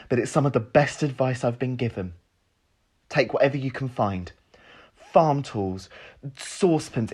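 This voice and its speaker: A young prince in an audio drama, mid-pitched and sincere. He is self-deprecating and a little halting, yet earnest as he rallies his people. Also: breathy edge.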